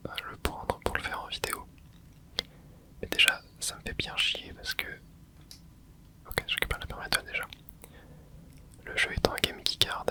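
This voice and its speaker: A breathy, close whisper with a bright, crisp sheen carries this easygoing gameplay chat. The host rambles softly, thinking aloud and trailing off with hesitant fillers, never raising the voice above a hush. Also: very high voice. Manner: casual, hesitant, frequent filler words. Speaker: gaming channel host. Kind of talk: whispered ASMR gameplay commentary.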